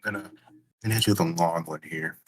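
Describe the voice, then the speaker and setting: A man playing a tabletop game, chatting casually and haltingly as he thinks aloud. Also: deep voice, slight background noise.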